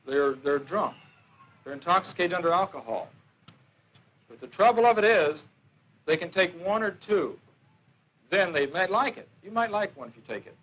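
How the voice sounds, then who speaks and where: A man's voice: high-pitched, animated, normal speed, long pauses, muffled recording, a little hiss or hum. A man giving an informal classroom slide lecture as a police drug educator, on a 1970s educational film soundtrack. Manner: questioning, repeats and confirms answers.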